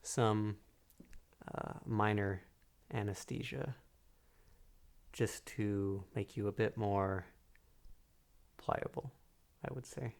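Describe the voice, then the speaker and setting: A man playing a calm, manipulative psychiatrist in a soft-spoken ASMR roleplay, measured and hypnotic, pausing between phrases. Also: lowish voice, gravelly. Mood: politely menacing. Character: calm, manipulative male psychiatrist interviewing a killer patient.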